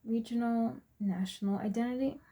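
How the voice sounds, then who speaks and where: Mellow young American woman presenting. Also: on the high side, steady tone, normal speed. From US Virgin Islands and Texas, USA. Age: 20s. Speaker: student presenter. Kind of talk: prepared class presentation.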